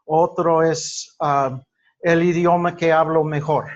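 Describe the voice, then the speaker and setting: A man whose first language is English, giving a classroom lecture in Spanish, halting with many fillers and restarts. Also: fairly high pitch, steady tone, lo-fi sound. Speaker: teacher.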